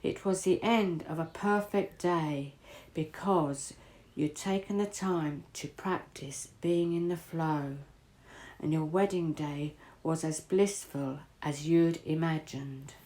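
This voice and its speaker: A middle-aged woman reading a scripted guided meditation in a measured, deliberate way, pausing between short phrases. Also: low-pitched, steady tone, dark tone. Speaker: guided visualisation host. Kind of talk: guided meditation, scripted second-person narration.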